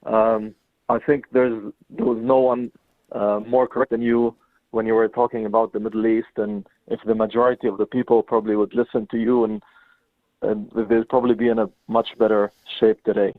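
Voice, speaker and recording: A man's voice: on the low side, flat delivery, a little fast, dry recording. A man from Lebanon calling in to a radio talk show, asking a question hesitantly. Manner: hesitant, many fillers and restarts. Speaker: caller. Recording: tinny phone line, dry.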